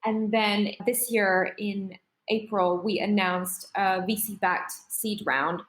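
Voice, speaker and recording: A woman from Singapore, a startup founder, telling her story in a measured, articulate way in a podcast interview. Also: medium pitch, steady tone, reverberant, poor audio.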